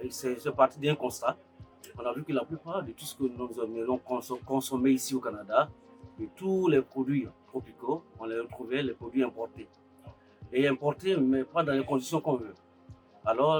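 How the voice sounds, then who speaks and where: A man from Côte d'Ivoire, an accountant by training, giving a hesitant interview testimony. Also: mid-range voice. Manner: hesitant, frequent filler sounds. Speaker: farm co-founder, accountant by training.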